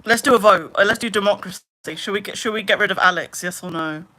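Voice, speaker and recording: Bright-voiced chatty woman streamer in her twenties. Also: mid-range voice, fast talker. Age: 20s. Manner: chatty, heavy use of "like", trailing off into mutters. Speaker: livestreamer. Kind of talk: casual live stream chat.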